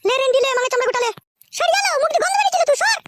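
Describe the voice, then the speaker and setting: Cartoon wife role: extremely high, very fast, jealous, abusive, pitched-up effect. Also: lively intonation, a bit bright, a little hiss or hum. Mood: furious, taunting. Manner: ranting, insulting, rapid-fire quarrelling. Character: shrill, foul-mouthed jealous village wife in cartoon. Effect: pitched-up, sped-up voice. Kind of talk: comedy cartoon dubbing with altered high-pitched voice.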